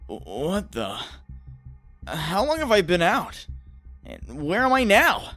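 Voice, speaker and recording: Male actor as a young quippy superhero, high-pitched, lively swings, wry and earnest. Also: slow pace, faint music behind, full character voice. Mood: dazed, wry. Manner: wry, animated, talks to himself.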